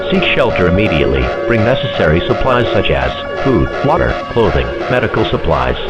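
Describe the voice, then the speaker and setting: Man acting as grave government alert announcer, loud music. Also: medium pitch, close to an everyday voice, poor audio. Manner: grave, formal, authoritative. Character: grave male government emergency message announcer. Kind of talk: scripted disaster alert broadcast.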